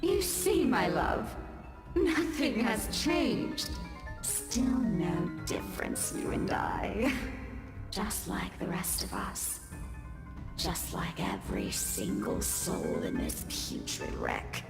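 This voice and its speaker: Game villain, undead woman; drawn-out creaky delivery, theatrical taunts, music underneath. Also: medium pitch, huge pitch swings, heavily acted. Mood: bitter, taunting. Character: vengeful ghostly monster woman, bitterly loving and menacing. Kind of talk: visual novel game voice acting. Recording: echoing voice over game music.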